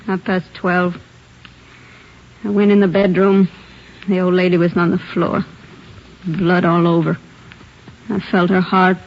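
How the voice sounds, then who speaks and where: Defiant murder suspect, dull old radio. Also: female voice, fairly low pitch, little pitch movement, measured pace, pauses often. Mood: weary, irritated. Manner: blunt, slangy, snappish. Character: defiant, hot-tempered married woman, a murder suspect. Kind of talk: 1940s radio drama acting. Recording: dull lo-fi 1940s radio recording.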